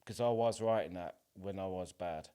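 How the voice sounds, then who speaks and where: A man in his forties with a fairly low voice talks as a guest in a casual interview. His manner is hesitant and informal as he reflects. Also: normal speed, warm tone, clear, resonant tone. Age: 40s. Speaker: podcast guest, playwright and actor.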